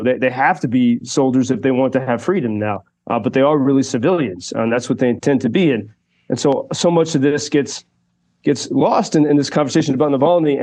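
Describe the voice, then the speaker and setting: A man's voice: medium pitch, darkish tone. A man reporting live for a radio show, talking thoughtfully with many fillers and restarts. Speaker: radio correspondent. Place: Kyiv.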